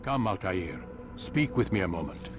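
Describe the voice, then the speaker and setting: An actor playing an authoritative older master of assassins in a video game, measured, calm and didactic. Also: male voice, low voice. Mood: approving, solemn. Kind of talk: dramatic video game acting. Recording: loud music behind the voice.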